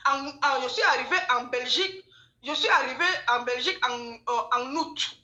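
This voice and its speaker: A woman telling gossip in a casual chat, animated and repeating phrases for emphasis. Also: high-pitched, normal speed, lo-fi sound. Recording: echoey room.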